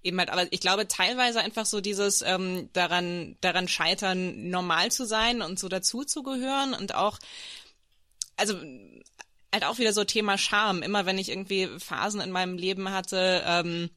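Bright, crisp-edged woman in close, dry sound. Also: medium pitch, normal speed, no echo. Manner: informal, searching for words. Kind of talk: personal, reflective podcast conversation.

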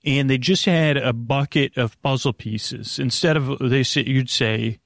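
Male podcast host, fry-laden voice, dry sound, friendly bedtime rambling, halting, stammering, tangential. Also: mid-range voice, no room sound. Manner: friendly, gentle companion. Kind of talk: rambling, meandering bedtime monologue addressed to the listener.

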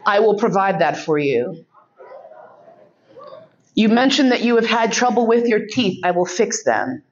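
A woman tells a blunt, funny story at a podium. She swears freely and laughs as she goes. Her voice sits at a middle pitch, and the recording sounds a little dull. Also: lively intonation, a little slow, slight background noise. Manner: frank, profane, laughing storyteller. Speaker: podcast host giving a keynote speech. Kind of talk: storytelling speech.

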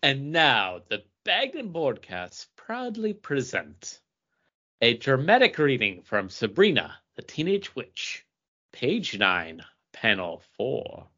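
Bright, animated man announcing on podcast. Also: medium pitch, slow pace, lo-fi sound. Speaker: podcast co-host. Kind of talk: casual chat with mock-grand announcing.

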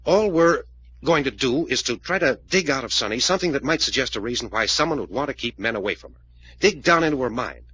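Male lead for vintage radio mystery: driven investigator, insistent, rough-edged bright voice, dull old broadcast sound. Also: medium pitch, lively intonation, fast talker. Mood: urgent, puzzling. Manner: urgent, insistent, commanding questioner. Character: intense, driven male investigator puzzling out a case. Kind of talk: 1940s radio detective drama acting.

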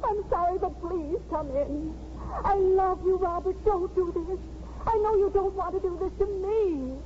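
Through the noisy, muffled sound of an old radio broadcast comes a middle-aged mother, very high-pitched and overwrought. She pleads anxiously and emotionally in 1950s radio drama style. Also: normal speed. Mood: tearful pleading.

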